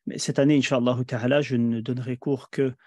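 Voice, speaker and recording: Belgian-born man teaching online; mellow, dry, unhurried with fillers. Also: medium pitch, steady tone, no echo. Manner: measured, hesitant with fillers. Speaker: imam and teacher. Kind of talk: online presentation.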